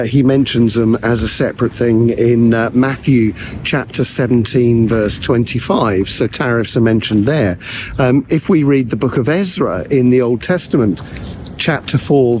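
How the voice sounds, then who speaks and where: A man, a pastor and theologian, giving a measured teaching talk to camera with frequent ums and uhs, his voice a little croaky from recent illness. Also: medium pitch, darkish tone, a little hiss or hum.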